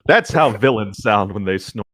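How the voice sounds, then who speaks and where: A man with a fairly low voice narrates a story from the page. He drops casual asides into the reading. The recording is dry, with hardly any room sound. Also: animated, normal speed, a bit bright, dry recording. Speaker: co-host reading fan fiction aloud.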